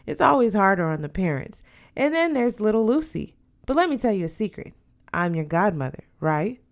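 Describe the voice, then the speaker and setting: A woman's voice: medium pitch, sing-song, moderate pace. An affectionate older godmother from a storybook, acted out by a woman reader. She is proud and a little fussy, and she explains things firmly and with emphasis, like someone used to giving advice. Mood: proud, confiding.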